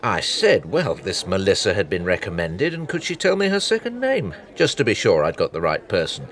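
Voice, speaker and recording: An actor playing a chatty British private detective in a naturalistic radio drama, wry and anecdotal. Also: male voice, lowish voice, wildly swooping pitch, normal speed, fairly bright tone. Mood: matter-of-fact.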